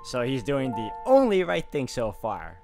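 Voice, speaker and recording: A man's voice: mid-range voice, huge pitch swings, measured pace. A man narrating a visual novel aloud, breaking off for wry comments, with game music playing. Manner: wry, quips between narration. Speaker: game streamer.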